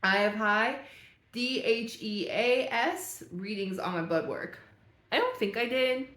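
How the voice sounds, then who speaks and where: A woman's voice: fairly high pitch, animated, a little slow, a bit bright, echoey room. A young woman vlogger chatting casually and thinking aloud as she reads through a quiz, laughing at herself now and then. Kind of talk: casual talk while reading a quiz aloud.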